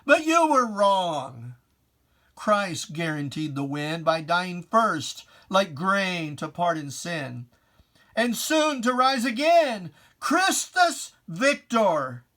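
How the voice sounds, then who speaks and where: Slow, theatrical reader of solemn verse. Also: medium pitch, very animated, fairly bright tone. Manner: theatrical, solemn, emphatic declamation with deliberate pauses; archaic preacherly diction, addressing a foe directly. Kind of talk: dramatic poetry reading, scripted and declaimed.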